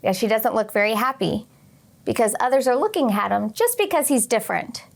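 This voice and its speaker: A woman teacher reading a picture book aloud to young children expressively, pausing for short teaching asides. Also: on the high side, lively intonation, vocal fry. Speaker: teacher and read-aloud host.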